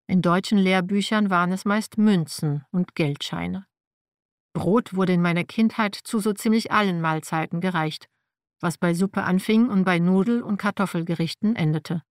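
A woman's voice: mid-range voice, dry recording, clean studio sound. A woman whose first language is Slavic reads a personal nonfiction text aloud in a wry, matter-of-fact way. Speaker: narrator.

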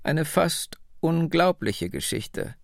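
A man voicing a wistful old woman of nearly a hundred in an audiobook, speaking slowly and with gentle insistence. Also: a little high, lively intonation, gravelly, dry recording, studio quality, pitched above the performer's own voice, full character voice. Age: older. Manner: slow, measured, gently insistent. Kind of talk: audiobook dialogue.

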